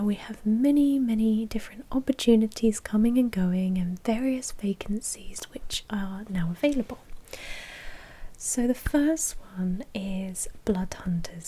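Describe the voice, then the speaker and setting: A woman's voice: medium pitch, a little slow, mellow, breathy edge. A woman playing a polite, businesslike vampire blood bank manager in a whispered ASMR roleplay, explaining procedures in a helpful, officious way. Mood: informative, encouraging.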